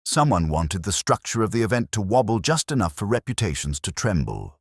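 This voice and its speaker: A man narrating a scripted mystery story in a measured, formal, literary manner. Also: fairly low pitch, very animated, slightly rough, dry recording, studio quality. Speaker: audiobook narrator.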